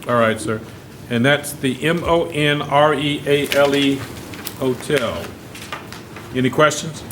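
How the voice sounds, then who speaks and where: A man presiding as judge at a bond hearing, speaking firmly and repeating his questions until he gets a clear answer. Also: medium pitch, takes it slowly, background noise.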